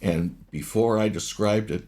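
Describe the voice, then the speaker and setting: A man in his sixties with a raspy voice, a guest in a casual interview who explains things at length and often restarts his sentences. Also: fairly low pitch, unhurried, mellow. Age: 60s. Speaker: guest, ghost hunter and recording engineer.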